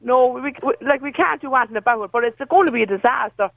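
A woman with a Meath accent calling into a radio show, talking earnestly and haltingly. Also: fairly high pitch, creaky, dry recording, rough recording. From Kells, County Meath, Ireland. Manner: earnest, halting, repeats herself. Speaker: caller. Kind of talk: call-in chat.

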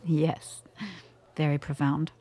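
A woman in a radio play playing an enigmatic, self-assured woman with an unhurried, cryptic and teasing manner. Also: low-pitched, flat delivery, mellow. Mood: distant, musing. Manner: unhurried, cryptic, at times distant.

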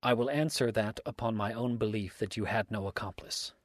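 A grave, unshakeable accuser from a storybook, read by a man. He is solemn and deliberate, with complete certainty, in a dry, close recording. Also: fairly low pitch, dry recording, lightly acted. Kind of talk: dramatic storybook reading.

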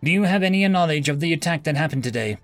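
A man's voice: on the high side, lively intonation, fast, no echo, soft background music, pitched above the performer's own voice, natural voice. Man playing a stern, formal interrogator. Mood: probing. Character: stern male interrogator, businesslike and unyielding. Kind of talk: acted story dialogue.

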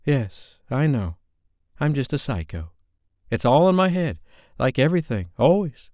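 A man's voice: mid-range voice, lively intonation, moderate pace, darkish tone, clean tone, no room sound, studio quality, pitched above the performer's own voice, natural voice. A man voicing an upset young woman in an audiobook, speaking in a raised, strained, heated way. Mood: angry, bitter.

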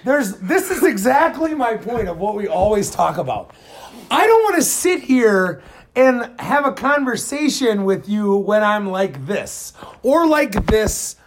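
A man with a booming voice in a casual chat as a guest, sardonic and argumentative, picked up by a phone in a garage. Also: big pitch swings, unhurried, slight background noise. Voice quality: booming, projecting voice. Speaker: podcast guest. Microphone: handheld phone, often held away.

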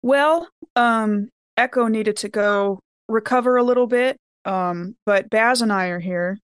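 A woman's voice: on the high side, measured pace, clear, resonant tone, close to an everyday voice. A woman playing a polite, slightly flustered character in improvised tabletop role-play, casual and tentative in delivery.

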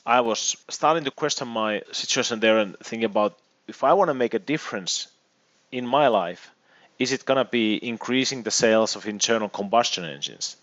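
A middle-aged man from Finland with a Finnish accent, giving thoughtful, measured answers as an interview guest. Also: lowish voice, fairly bright tone, no echo, lo-fi sound. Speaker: guest, EV consultant and educator.

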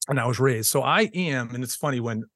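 A man's voice: medium pitch, fairly quick, a bit bright, dry recording. Middle-aged guy, teacher on a podcast, earnest, lots of ums and restarts, dry close sound. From Boston, USA. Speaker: public school teacher, podcast guest. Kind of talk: conversational storytelling interview.

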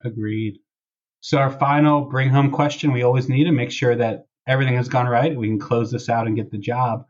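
A man's voice: medium pitch, steady tone, a little fast. A man hosting a casual podcast in a wry, informal way, recorded through a wireless earbud microphone. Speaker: podcast host. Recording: dull, lo-fi wireless earbud audio.

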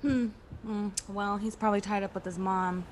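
A woman playing a gently sceptical, concerned friend and narrator in a classic radio suspense play. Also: mid-range voice, steady tone, normal speed, a little hiss or hum, natural voice. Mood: reassuring, practical.

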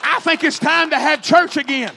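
Guy preaching a fiery revival sermon, really high voice, sharp and forceful, hammers phrases over and over. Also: moderate pace, gravelly, some chatter behind, slight background noise. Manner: emphatic, repetitive, rhythmic exhortation; calls out to the congregation.

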